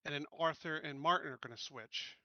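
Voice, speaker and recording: A man's voice: a little high, fairly quick, sharp tone, no echo, dull audio. A man playing a tabletop game, chatting casually and decisively directing the other players on tactics.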